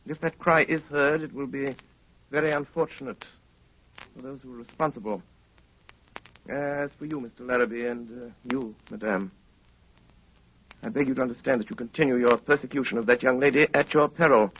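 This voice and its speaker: An actor playing a cool, gallant gentleman detective in formal, measured 1930s radio style. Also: mid-range voice, steady tone, lightly acted. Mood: firm. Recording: muffled, noisy 1930s radio broadcast.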